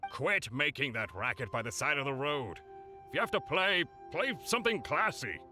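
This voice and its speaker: A man's voice: mid-range voice, very animated, moderate pace, close to an everyday voice. A man playing a grumpy, snobbish townsman in a video game. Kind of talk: game voice acting. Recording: music behind the voice.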